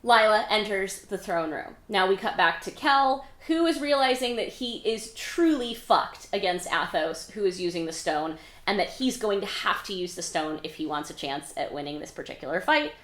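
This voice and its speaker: A woman's voice: medium pitch, lively intonation, normal speed, fairly bright tone, reverberant. A woman hosting a readalong as its author, recapping the story casually and wryly with dramatic emphasis and some swearing. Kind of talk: casual, animated book recap.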